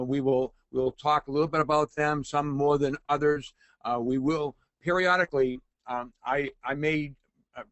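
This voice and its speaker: A man in his sixties with a Boston accent, presenting a webinar informally and haltingly, with frequent hesitations and repeats. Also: mid-range voice, no echo. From Boston, United States. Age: 60s. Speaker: webinar host, retired agent and forensic lecturer.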